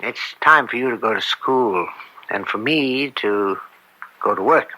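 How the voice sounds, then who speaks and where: An actor playing an upright, principled judge and father in old-time radio drama, measured and earnest. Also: lowish voice, very noisy, natural voice.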